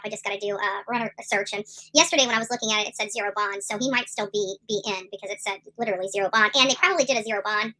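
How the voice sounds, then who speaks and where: A middle-aged woman hosts a casual livestream, speaking fast in a rambling, emphatic way. Her voice sits a little high and comes across bright and forceful, full of fillers and restarts. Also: rough recording. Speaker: YouTube commentary host.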